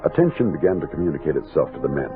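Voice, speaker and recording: An actor playing a steady, commanding expedition captain who narrates and speaks in an old-time radio western. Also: male voice, very deep voice, very fast, exaggerated voice. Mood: grave, reflective. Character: steady male expedition captain, commanding, thoughtful frontier leader. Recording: narrow-band vintage radio recording with music.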